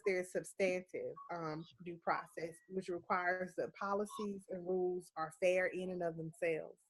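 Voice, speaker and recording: A woman with a head cold and a stuffy nose presents a case to her class on a video call in a measured, explanatory way, often saying "um" and "of course.". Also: lowish voice, poor audio. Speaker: student presenter.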